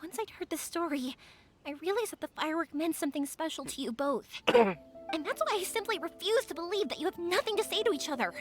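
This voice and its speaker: Perky high fireworks girl, bouncy sing-song lines, faint game music. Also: quick pace. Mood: earnest. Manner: bright, earnest, lively. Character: cheerful young female fireworks maker. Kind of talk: game voice acting.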